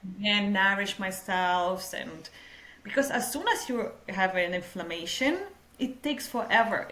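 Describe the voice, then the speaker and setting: A young woman from Lithuania with a Lithuanian accent, a podcast guest speaking slowly with halting non-native grammar. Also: medium pitch, bright, forceful tone, reverberant, low-quality recording. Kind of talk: casual interview.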